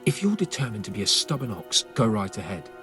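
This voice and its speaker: An actor playing a grumbling, sarcastic nobleman on a raid in a medieval video game, exasperated and quick to swear. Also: fairly low pitch, very animated, music in the background. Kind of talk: dramatic video game cutscene acting.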